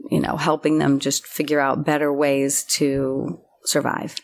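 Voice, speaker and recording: A woman's voice: deep voice, little pitch movement, a little slow, gravelly. A woman guest in a casual interview, telling her life story in a chatty way with many fillers. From United States. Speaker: filmmaker guest.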